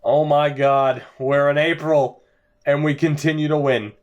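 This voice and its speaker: Young man, higher voice, voice going hoarse; upbeat jokey game commentary. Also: measured pace, dull audio. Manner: excitable, jokey, laughs often. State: losing his voice. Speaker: gaming YouTuber. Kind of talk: casual gameplay commentary.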